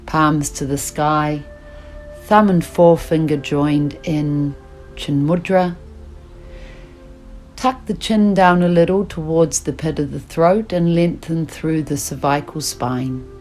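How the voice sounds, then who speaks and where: A woman with a low voice leads a guided relaxation as a yoga nidra teacher. She speaks very slowly and soothingly, leaving long pauses between short instructions. Music plays behind her. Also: fairly even intonation, a little mellow.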